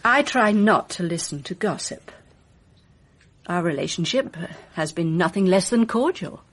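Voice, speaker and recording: A woman's voice: fairly low pitch, lively intonation, measured pace. A woman playing a poised, evasive film actress in a radio mystery drama, polite, guarded and arch. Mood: guarded, cool.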